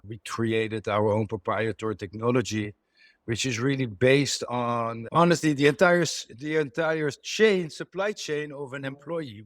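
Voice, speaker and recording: A middle-aged man with a Dutch accent explains his business in a podcast interview, his voice sitting fairly low. He talks fluently but keeps doubling words and restarting phrases. The recording is dry and close, with no room sound. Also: no echo. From Netherlands. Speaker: company co-founder, guest.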